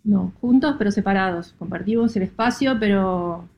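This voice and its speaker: A woman's voice: mid-range voice, normal speed, gravelly, highs cut off, faint music behind. Argentine woman chatting casually on a video call. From Rosario, Argentina. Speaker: guest caller, interior designer. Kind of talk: casual chat. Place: mezzanine workspace indoors. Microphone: computer on a video call.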